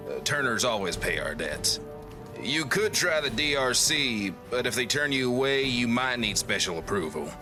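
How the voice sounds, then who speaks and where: A man's voice: fairly low pitch, close to an everyday voice. Man playing an easygoing, chatty traveler, music behind. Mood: earnest, hopeful. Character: amiable down-on-his-luck male traveler offering paid courier work. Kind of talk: video game dialogue acting.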